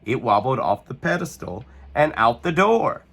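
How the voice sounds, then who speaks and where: A man reading a children's picture book aloud with expressive, animated storytelling. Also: medium pitch, normal speed.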